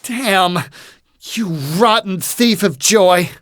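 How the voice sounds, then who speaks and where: A woman's voice: medium pitch, drawn-out words. A woman voicing a petulant, haughty young boy scholar of magic in a video game, exclamatory and indignant. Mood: outraged. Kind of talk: dramatic game voice acting.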